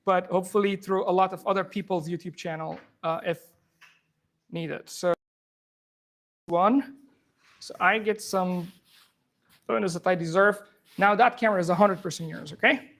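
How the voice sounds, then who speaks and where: A man from Afghanistan, a software engineer, giving an informal live tech demo to a room audience on a handheld microphone, talking fast with many restarts. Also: sits high, fairly even intonation. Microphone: handheld or clip microphone.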